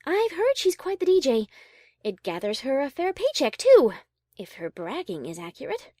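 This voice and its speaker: A woman playing a high-class pony in an audio drama, proper and suspicious, hesitating when flustered. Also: wildly swooping pitch, moderate pace, warm tone, dry recording, studio quality. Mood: skeptical, puzzled. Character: prim, worried, suspicious high-class female pony musician. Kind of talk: audio drama character reading.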